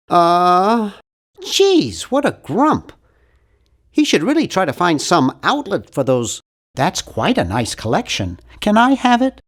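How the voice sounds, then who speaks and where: A man playing an earnest, awkward, nerdy young cartoon hero in an adventure game, with a nasal, geeky, carefully worded delivery. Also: a little high. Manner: geeky, over-articulate, earnest. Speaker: video game voice actor. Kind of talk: animated adventure game character lines.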